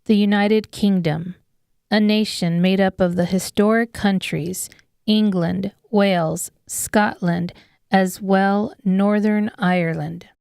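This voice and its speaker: A woman's voice: mid-range voice, steady tone, slow pace, gravelly, a little mellow, clean tone, no echo, studio quality. A woman reading scripted narration of an apocalyptic horror audiobook. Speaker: author narrating her audiobook.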